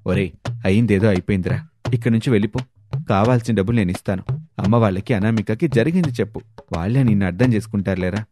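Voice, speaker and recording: A man's voice: lowish voice, quick pace, warm tone, a little hiss or hum, pitched below the performer's own voice, natural voice. A man playing a supportive friend who gives firm advice in an earnest, reassuring way.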